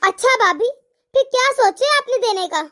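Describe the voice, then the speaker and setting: A woman voicing a spoiled married daughter in an animated family drama, demanding, boastful and disdainful. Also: extremely high pitch, normal speed, no room sound, studio quality. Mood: entitled.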